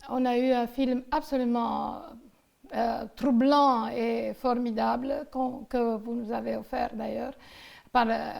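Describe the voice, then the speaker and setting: A woman's voice: fairly high pitch, gravelly, a little mellow. A woman interviewing someone casually about film, talking in a rambling, hesitant way full of digressions.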